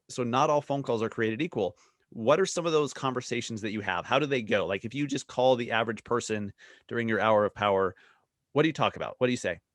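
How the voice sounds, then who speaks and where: A man hosting a podcast, talking in a relaxed, halting way with frequent restarts. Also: medium pitch, no echo, studio quality. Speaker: podcast host. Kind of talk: podcast interview.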